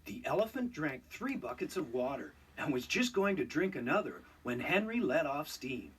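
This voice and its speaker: A man narrating a children's read-along storybook in an expressive, scripted storytelling style. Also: fairly high pitch, moderate pace, slightly rough, far from the mic, low-quality recording.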